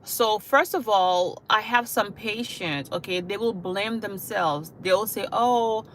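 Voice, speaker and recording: A woman's voice: medium pitch, takes it slowly, fairly bright tone, a little hiss or hum, low-quality recording. A woman from Haiti who works as a hospice caregiver, talking earnestly to viewers on a live stream, often checking in with "okay?" and "you know". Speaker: hospice caregiver and channel host. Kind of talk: livestream talk to viewers.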